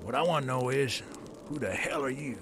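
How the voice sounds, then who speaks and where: A man's voice: medium pitch, a little fast, lightly acted. An actor playing a brash, murderous male outlaw boss in a western video game, with a theatrical delivery. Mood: menacing. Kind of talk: dramatic video game acting. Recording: loud storm and effects noise.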